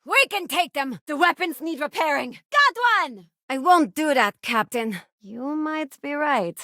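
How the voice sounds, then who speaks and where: An actress voicing a sincere, principled young female space ranger and crew member in a video game, with a measured, plain-spoken delivery. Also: high-pitched, lively intonation, sharp tone, no room sound, studio quality, exaggerated voice. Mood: urgent, battle-ready. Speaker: voice actress playing a game companion. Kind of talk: scripted video game voice lines.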